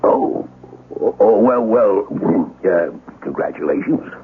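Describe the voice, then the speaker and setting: An actor playing a stingy, overbearing boss in a radio drama, blustery and patronizing as he smooth-talks his way along. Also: fairly low pitch, animated, takes it slowly, heavily acted. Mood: flustered. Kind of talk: 1970s radio drama acting. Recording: noisy, tinny old radio broadcast.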